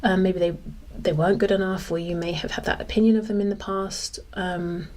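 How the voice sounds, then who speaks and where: A woman's voice: medium pitch, steady tone, a little fast, darkish tone. A woman giving a casual tarot reading, thinking aloud in a conversational way. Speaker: tarot reader.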